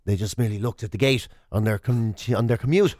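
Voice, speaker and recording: A man's voice: lowish voice, quick pace, a little mellow, no room sound, studio quality. An Irish man co-hosting comedic radio banter, telling stories in a mock-earnest way. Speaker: radio show co-host. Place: radio studio.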